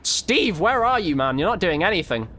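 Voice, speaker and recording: A man's voice: sits high, very animated, moderate pace, fairly bright tone, slight background noise. A man hosting a gaming video, giving chatty, informal commentary on his play and addressing his viewers directly. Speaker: gaming video host and player. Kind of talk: casual gameplay commentary.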